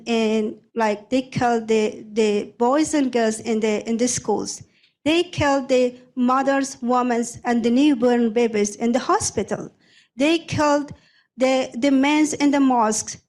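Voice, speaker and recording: A young adult woman from Afghanistan answers questions on a discussion panel. Her voice sits somewhat high, and her phrases come in halting bursts full of restarts and repeated words. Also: darkish tone. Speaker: panel guest, former prosecutor.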